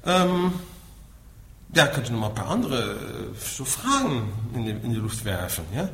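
A man's voice: mid-range voice, very animated, moderate pace, a bit bright. A middle-aged man from the Netherlands with a Dutch accent gives an explanatory talk as a Buddhist teacher, often tagging his points with a short questioning word. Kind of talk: spoken lecture to listeners. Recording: echoey room with faint background noise.

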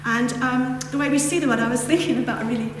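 A woman's voice: high-pitched, quick pace. Woman with a northern English accent, echoey hall. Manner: reflective and meandering, loose conversational asides. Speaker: Buddhist teacher. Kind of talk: dharma talk to a group. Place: hall with a lectern. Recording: echoey hall, distant mic, background noise.

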